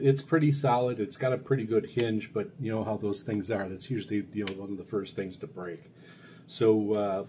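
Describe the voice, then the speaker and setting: Male video reviewer, lowish mellow voice, unscripted and halting with stammered repeats, faint noise in the recording. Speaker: video host reviewing radio gear. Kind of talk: casual unscripted product review. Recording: steady background noise.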